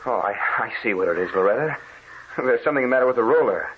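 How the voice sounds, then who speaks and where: An actor playing an uneasy, dismissive husband in a theatrical, impatient way, in an old radio drama on a muffled recording. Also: male voice, medium pitch, animated, quick pace, heavy background noise, natural voice. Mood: startled, reassuring. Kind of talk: 1930s-40s radio drama acting.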